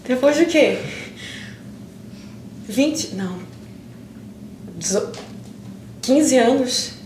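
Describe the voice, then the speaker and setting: A young actress with a Brazilian accent playing an emotional woman pleading with a long-lost friend in improvised theatre, speaking haltingly with pauses. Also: high voice, lively intonation, darkish tone, full character voice. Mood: tentative, pleading. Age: young adult. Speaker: stage actress. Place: indoor theatre stage. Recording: roomy, distant, noisy stage recording.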